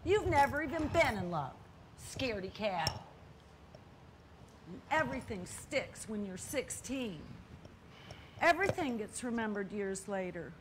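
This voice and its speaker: Wistful older woman played by an actress, earnest and argumentative, higher voice, stage drama, clearly noisy capture. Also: very animated, measured pace, pauses often. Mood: defiant. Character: wistful, stubborn older sister yearning for late-life adventure. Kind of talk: stage play dialogue.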